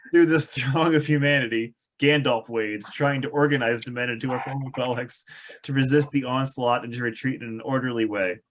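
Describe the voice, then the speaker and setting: A man's voice: medium pitch, fairly bright tone. A man reading screenplay stage directions aloud at a table read, with an amused, sardonic manner. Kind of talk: table read of a film script with wry asides.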